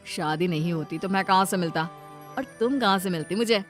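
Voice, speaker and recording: A woman's voice: fairly low pitch, brisk, voice pushed down, lightly acted. A woman voicing a scheming young husband in a family cartoon, wheedling and protesting indignantly as he plays innocent. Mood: coaxing. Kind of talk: Hindi cartoon family-drama voice acting. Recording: music behind the voice.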